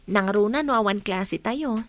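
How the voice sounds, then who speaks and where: A woman's voice: mid-range voice, measured pace, no room sound, lightly acted. A woman with an Ilocano accent acting a modest, dutiful teenage schoolgirl and caring elder sister in a radio drama. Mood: earnest.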